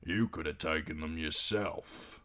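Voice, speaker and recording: A man voicing a gruff, scornful outlaw in a storybook, muttering and dismissive. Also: very deep voice, measured pace, bright, forceful tone, full character voice.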